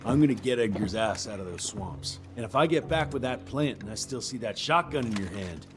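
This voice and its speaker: Game role: male actor as gruff, folksy vampire hunter, mid-pitched, sardonic and defiant, music under. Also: expressive, fairly quick, natural voice. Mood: defiant, angry. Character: gruff, foul-mouthed, defiant vampire-hunting field agent. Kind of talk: scripted video game cutscene acting. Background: background music.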